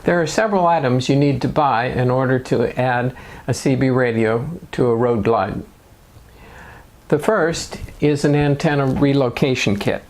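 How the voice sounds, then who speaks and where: A man narrating step-by-step DIY instructions in a measured, matter-of-fact way. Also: mid-range voice. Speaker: motorcycle DIY video host.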